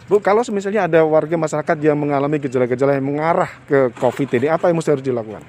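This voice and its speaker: A man's voice: fairly high pitch, a little mellow, slight background noise. Man reporting from the field. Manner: measured, formal with hesitant fillers. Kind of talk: on-location news report and interviews. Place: outdoors at a village hall.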